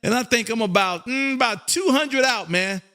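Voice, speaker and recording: A man from Texas hosting a ham radio live stream with a loud, showy, repetitive on-air patter. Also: very high-pitched, moderate pace, soft background music. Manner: loud, showy, repetitive, shouts and half-sings call signs. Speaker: amateur radio operator and live stream host. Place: radio room.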